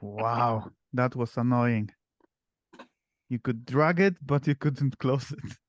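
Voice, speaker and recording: A man's voice: mid-range voice, dry recording. Polish guy on a video call, lots of yeah and restarts, dry sound. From Poland. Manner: halting, many fillers and restarts. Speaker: meetup host. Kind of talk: casual online group chat.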